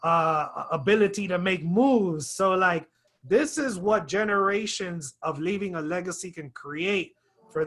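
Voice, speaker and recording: A man's voice: sits high, sharp tone. A man hosting a real estate webinar, talking emphatically and persuasively. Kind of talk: webinar conversation.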